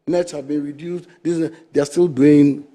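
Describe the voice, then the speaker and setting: A middle-aged Ghanaian man, president of a fisheries association, arguing his case forcefully and emphatically as a guest on a TV studio discussion. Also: on the high side, fairly even intonation, moderate pace.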